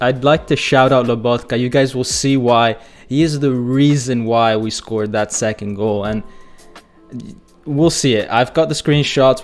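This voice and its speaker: Hoarse, excitable football fan host. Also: male voice, mid-range voice. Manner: excitable, chatty fan, addresses viewers as guys. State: hoarse, losing his voice. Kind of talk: live-stream fan commentary and chat reading.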